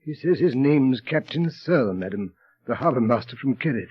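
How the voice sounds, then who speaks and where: An actor playing a formal, deferential household servant in 1930s radio drama, polite and restrained. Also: male voice, mid-range voice, lively intonation, normal speed, slightly rough. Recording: muffled old radio recording.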